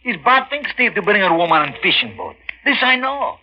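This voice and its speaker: Brief: earnest, stubborn male immigrant fisherman, broken English, swooping higher voice, vintage radio sound. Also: very animated, fairly quick. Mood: worried, stubborn. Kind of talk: 1940s radio acting. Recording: dull vintage 1940s radio.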